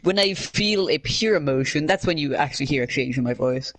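Monotone young man, slightly high. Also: a little fast, poor audio. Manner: monotone, flat delivery. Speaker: gamer. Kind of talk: casual gaming chat.

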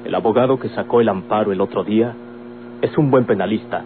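Male actor as resolute grown son, Mexican accent, emphatic and earnest, lower register, hissy humming vintage radio serial. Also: fast, close to an everyday voice. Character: firm, defiant grown son in love. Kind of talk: old-time Mexican radio drama acting. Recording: old tinny radio recording, noisy hum.

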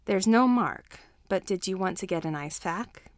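Woman playing male daycare teacher, storybook character, stern, weary, firm, deadpan, dry sound. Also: medium pitch, moderate pace, dry recording, lightly acted. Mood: calm, firm.

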